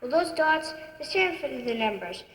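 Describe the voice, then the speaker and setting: A woman's voice: high voice, big pitch swings, normal speed, fairly bright tone, heavily acted. Woman doing a patient young blind boy. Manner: patient, explaining. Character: calm, confident blind eleven-year-old boy. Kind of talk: children's puppet show acting. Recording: echoey hall.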